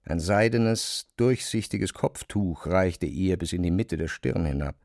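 Low-pitched male narrator, dry sound. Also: animated, measured pace, a little mellow, dry recording. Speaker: narrator of a literary audio drama. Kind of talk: scripted literary narration.

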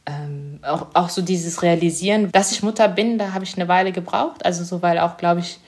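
A woman's voice: lowish voice, brisk, darkish tone. A young woman, an author, talking reflectively in an interview, hesitant and searching for her words with many small fillers.

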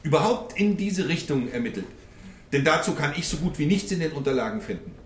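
Roomy radio drama court, guy doing combative male defence lawyer, bit high voice, formal n needling. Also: animated, a little fast, close to an everyday voice. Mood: accusing. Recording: echoing courtroom, faint background noise.

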